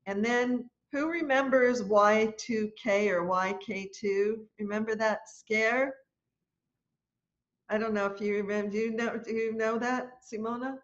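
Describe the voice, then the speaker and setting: A woman in her sixties, a choir leader, telling a story in an animated, chatty way during a lesson on a video call from home. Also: a little high, a little slow. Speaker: choir leader and music teacher. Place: room at home, indoors. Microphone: laptop webcam on a video call. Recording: dull lo-fi video call audio.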